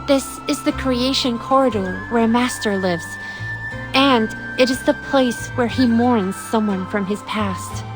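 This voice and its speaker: An actress playing an earnest, sorrowful young female disciple in a video game, speaking in a measured, sincere way. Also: a little high, music in the background, natural voice. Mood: pensive. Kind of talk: dramatic video game voice acting.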